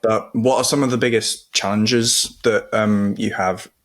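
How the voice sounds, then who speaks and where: A man hosting a sports podcast, speaking in a relaxed, chatty, encouraging way. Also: on the low side, unhurried. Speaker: podcast host. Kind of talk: casual interview chat.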